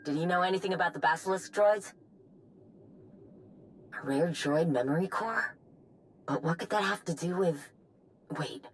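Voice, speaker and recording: An actress playing a practical, determined tech ally in a sci-fi video game, brisk and matter-of-fact. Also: female voice, deep voice, lightly acted, rough recording. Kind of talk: video game dialogue acting.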